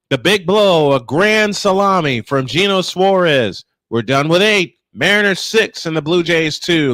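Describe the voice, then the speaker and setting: Man for live baseball call with loose banter; voice a touch high, very dry acoustic. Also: bright, forceful tone, dry recording. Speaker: play-by-play sportscaster.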